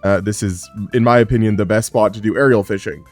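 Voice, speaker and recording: A man's voice: on the low side, fast, faint music behind. A man giving casual, rambling commentary over his gameplay, sounding a little sick and pushing his voice up a bit. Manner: relaxed, rambling, frequent "uh" fillers. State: sick, strained low voice. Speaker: gaming YouTuber.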